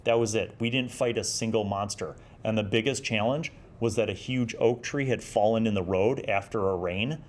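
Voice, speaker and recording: A man's voice: fairly low pitch, normal speed, hardly any pauses. A man in his forties hosting a video review, talking in a conversational, explanatory way with emphasis and sometimes reading passages aloud. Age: 40s. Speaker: video host reviewing old gaming magazines. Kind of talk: casual commentary with read-aloud passages.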